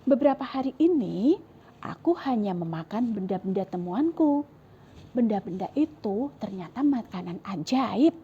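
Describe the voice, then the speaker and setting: A woman voicing a calm, upbeat forest animal villager in a children's story, speaking in an eager, persuasive way. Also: medium pitch, moderate pace, dull audio, a little hiss or hum, lightly acted. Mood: earnest.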